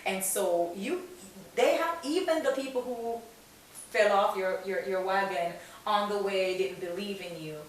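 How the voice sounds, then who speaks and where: A woman's voice: medium pitch, unhurried. A woman hosting a talk show, speaking in an encouraging, emphatic, motivational way and often repeating phrases for weight. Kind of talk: conversational motivational interview. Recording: very echoey room, distant mic, hiss.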